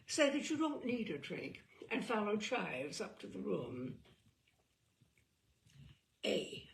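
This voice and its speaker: A woman reading a book aloud as a storyteller, theatrical and expressive, with a wry, arch delivery. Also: a little high, creaky, slight background noise.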